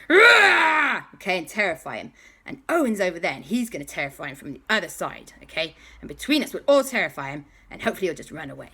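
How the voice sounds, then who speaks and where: A woman's voice: deep voice, fairly bright tone, slightly rough, full character voice. A woman voicing a bossy, eager male ringleader in a children's story, giving emphatic, conspiratorial instructions.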